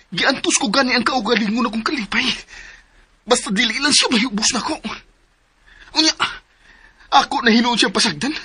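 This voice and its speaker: A man with a Cebuano accent voicing an emphatic young man in a radio drama, lovesick and possessive, with a husky voice. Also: very high-pitched, pushed and bright, heavily acted. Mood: earnest. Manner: emphatic, swings between pleading and bluster.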